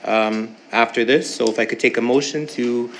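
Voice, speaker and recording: A man of middle pitch runs a council meeting, moving briskly through motions and votes with an easy, joking manner. Faint room noise sits under his voice. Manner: relaxed, joking, brisk procedural reading. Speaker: meeting chair. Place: council chamber.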